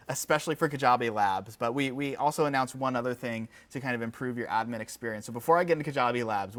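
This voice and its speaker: A man giving a casual live software demo, with an enthusiastic, informal, conversational manner. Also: mid-range voice. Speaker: company executive presenting a livestream.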